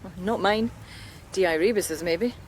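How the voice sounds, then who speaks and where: An actress playing a no-nonsense police detective in a radio drama, with a Scottish accent and a matter-of-fact manner. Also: female voice, mid-range voice, a little slow, slight background noise, close to an everyday voice. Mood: curt.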